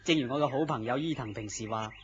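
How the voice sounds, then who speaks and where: An actor playing a gentle, melancholy man in love, speaking earnestly and theatrically in Cantonese radio drama style. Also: mid-range voice, fast talker, natural voice. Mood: self-mocking. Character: lovestruck, gentle, self-deprecating melancholy man. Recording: dull lo-fi audio with noise.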